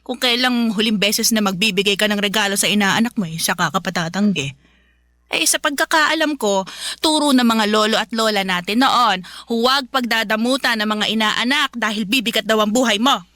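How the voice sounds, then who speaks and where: Woman acting sharp, pushy Filipina mother, dry sound. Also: mid-range voice, normal speed, no room sound, close to an everyday voice. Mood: indignant, reproachful. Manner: scolding, wheedling, dramatic. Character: pushy, nagging, shameless Filipina mother, middle-aged. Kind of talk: radio drama acting in a love story podcast.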